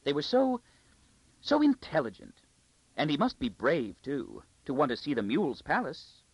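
A faded, nearsighted noblewoman with a high, breathy, fluttering voice, as a male reader puts her on. She gushes and drifts from thought to thought, her pitch swooping about in a dry, close storybook reading. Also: wildly swooping pitch, normal speed, no room sound, dull audio, heavily acted. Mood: fluttery. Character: vague, plump, high-voiced noblewoman, middle-aged.

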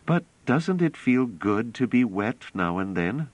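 A man voicing a calm young woman who explains patiently and earnestly. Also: mid-range voice, measured pace, no echo, highs cut off, voice pushed up. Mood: light, cheerful.

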